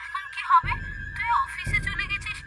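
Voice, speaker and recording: Super high voiced worried coworker girl a woman acting, cartoon style, fussy and emphatic, faint music under it. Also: little pitch movement, normal speed, bright, forceful tone, full character voice. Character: concerned, chatty young female office colleague.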